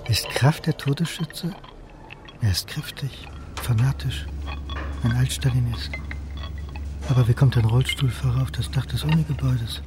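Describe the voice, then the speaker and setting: A man's voice: medium pitch, normal speed, long pauses, lightly acted. Over prominent music comes a prickly male academic played by an actor in a radio crime drama. His voice sits in a middle register. He is insistent and pointed, as if always after an answer. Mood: brooding narration. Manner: pointed, questioning. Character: male academic historian turned amateur sleuth, insistent, prickly. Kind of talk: German radio play dialogue and first-person narration. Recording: music behind the voice.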